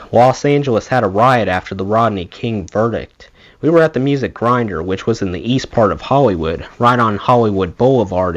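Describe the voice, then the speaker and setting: A man reading a rock memoir aloud in an expressive storytelling way. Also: mid-range voice, highs cut off. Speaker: audiobook reader, channel host.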